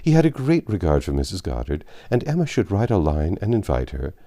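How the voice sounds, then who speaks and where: A man's voice: deep voice, very animated, fairly quick, mellow. A man narrating a classic novel aloud as an audiobook.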